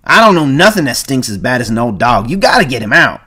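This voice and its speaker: A man voicing a burly ranch hand who talks loudly, bluntly and coarsely. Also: mid-range voice, sing-song, fast, husky, pitched above the performer's own voice, heavily acted. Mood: gruff.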